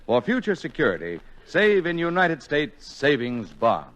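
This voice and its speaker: A man's voice: on the high side, wildly swooping pitch, unhurried, fairly bright tone, soft background music. A man reading a scripted advertisement as an old-time radio announcer. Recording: dull vintage radio recording.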